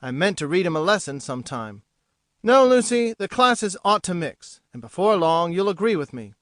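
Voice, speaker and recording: A woman doing a smug, lofty young gentleman. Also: lowish voice, very animated, moderate pace, no echo, studio quality, voice pushed down. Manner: arch, lofty, playful. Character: smug teasing young gentleman, mocking snobs. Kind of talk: audiobook character voice.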